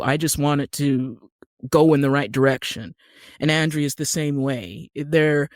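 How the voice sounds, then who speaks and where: A woman's voice: low-pitched, no echo, studio quality. A woman from Utah with an American accent, explaining things step by step in a conversational interview. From Utah, United States. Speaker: podcast guest, screenwriter and actor.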